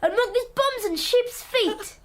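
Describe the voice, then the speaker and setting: A woman's voice: very high voice, unhurried. A performer voicing an earnest, stubborn, dreamy young boy in a radio drama, naive and insistent, with a North East English accent. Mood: angry. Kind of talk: naturalistic 1990s radio drama acting.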